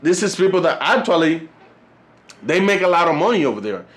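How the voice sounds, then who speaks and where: Young Colombian man with a higher voice. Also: very animated, brisk. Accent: Colombian Spanish. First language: Spanish. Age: 20s. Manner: rambling, filler-heavy, says "you know" and "fellas" a lot. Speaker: YouTube channel host. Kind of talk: casual vlog commentary.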